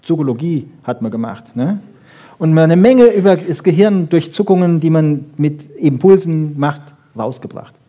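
Husky-voiced man lecturing. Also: on the high side, expressive, normal speed, warm tone. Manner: emphatic, rhetorical questions and short punchy asides. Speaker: lecturer on brain research. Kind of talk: lively popular science lecture to an audience.

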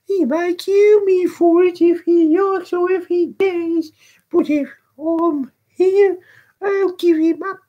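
A defiant young boy from a storybook. The voice is shrill and pitched far up, screaming in frantic desperation and cutting through with piercing force. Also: fairly even intonation, slow pace, highs cut off. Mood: defiant, excited.

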